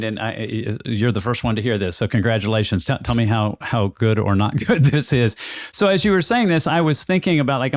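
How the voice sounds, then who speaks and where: Man, podcast host, fast rambling delivery, thinking aloud, dry studio-close sound. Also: on the low side, no echo. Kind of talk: casual conversational interview.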